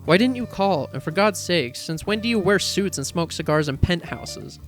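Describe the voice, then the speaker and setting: A woman voicing an exasperated, sharp-tongued older sister in a dramatic story reading. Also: fairly low pitch, expressive, normal speed, soft background music, close to an everyday voice. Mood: demanding. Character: exasperated, fiery older sister, sardonic and protective.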